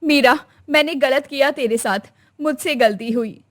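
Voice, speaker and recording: A woman's voice: high-pitched, a little slow. A woman voicing a traditional older mother-in-law, stern and scolding but tender underneath. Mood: remorseful.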